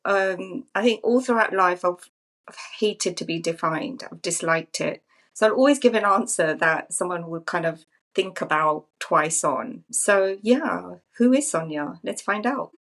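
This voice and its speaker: A woman's voice: lowish voice, lively intonation, a little slow, a bit bright. Reflective woman in her fifties, from Zambia. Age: 50s. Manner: thoughtful, musing, repeats phrases. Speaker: podcast guest. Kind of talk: reflective personal storytelling.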